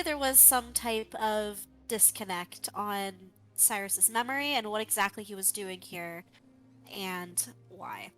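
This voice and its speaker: Bright-voiced woman recapping a campaign. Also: medium pitch, rough recording. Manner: animated storytelling. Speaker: player giving the session recap. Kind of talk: recapping the story.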